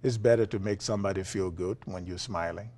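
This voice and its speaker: A man with a Liberian accent, a philosophy professor being interviewed, talking in a relaxed way with frequent fillers and chuckles. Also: low-pitched, a little fast. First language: Liberian English. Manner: chuckling, many uh fillers and restarts. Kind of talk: conversational interview.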